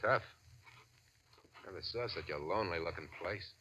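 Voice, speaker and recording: A man playing a greedy, suspicious gunman in a 1940s radio drama, with gruff, pushy, clipped talk. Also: low voice, moderate pace, sharp tone, muffled recording, slight background noise. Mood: wary, menacing. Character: greedy, suspicious, threatening male crook with a gun.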